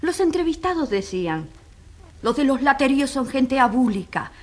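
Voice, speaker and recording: An actress playing an earnest, impassioned advocate addressing a jury with emphatic rhetorical questions in a radio courtroom drama. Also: female voice, high-pitched, sing-song, moderate pace, darkish tone.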